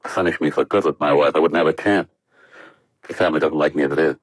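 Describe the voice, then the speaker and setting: An actor playing an earnest, troubled young American test pilot, hesitant and subdued in 1950s radio drama style. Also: male voice, rumbling low, fairly even intonation, fast, breathy edge, no room sound, studio quality. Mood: subdued, worried.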